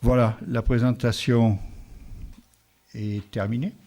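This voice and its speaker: A man, the mayor of a village, presenting a project at a public meeting and answering residents' questions in a halting way, often restarting his sentences. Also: medium pitch, little pitch movement, gravelly, warm tone. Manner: halting, restarts, rounding figures loosely.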